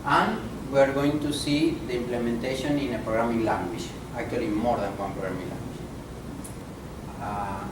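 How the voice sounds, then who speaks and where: Man, academic lecturer, deliberate and halting; distant, echoey, noisy room recording. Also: medium pitch, steady tone. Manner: deliberate, halting, many fillers. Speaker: visiting professor and speaker. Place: conference room.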